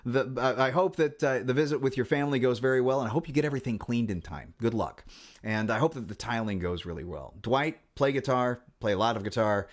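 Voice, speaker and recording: A man's voice: mid-range voice, a little fast. A middle-aged man vlogging casually about his guitar channel, rambling and wry, with many fillers and restarts.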